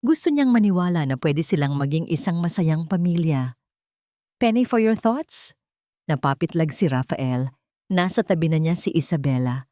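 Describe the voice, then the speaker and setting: A woman's voice: low voice, animated, normal speed, dark tone, no echo, studio quality. A woman narrating an audio drama in a scripted, dramatic storytelling style.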